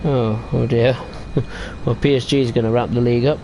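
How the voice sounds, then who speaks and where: A man's voice: medium pitch, steady tone, brisk, dark tone, a little hiss or hum, low-quality recording. A man casually chatting and rambling while streaming a train simulator game. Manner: chatty, rambling about football tables while driving. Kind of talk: casual gameplay commentary.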